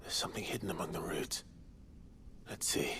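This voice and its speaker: An actor playing a grim, stoic yet compassionate adventurer in a video game, terse and brooding, often close to a whisper. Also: male voice, low voice, a little fast. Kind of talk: dramatic video game acting.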